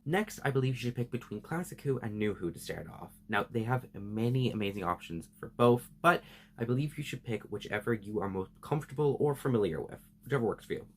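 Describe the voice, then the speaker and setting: A man's voice: medium pitch, lively intonation, a little fast, a little hiss or hum. A man hosting a semi-scripted explainer vlog, speaking in an earnest, emphatic and enthusiastic way. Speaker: video host.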